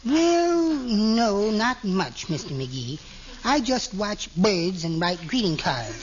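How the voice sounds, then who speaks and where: A man's voice: sits high, very animated, slow pace, exaggerated voice. A man playing a meek, henpecked little husband with sly spite, mild and prim, in 1940s radio comedy style. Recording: vintage radio, muffled with background hiss.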